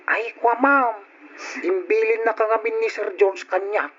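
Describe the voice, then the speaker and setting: An actor playing a deferential, hesitant household servant in an Ilocano radio drama. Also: male voice, sits high, a little slow, a bit bright. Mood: nervous deference. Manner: respectful, hesitant. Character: deferential, nervous male household servant Crispin. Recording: dull, noisy old radio recording.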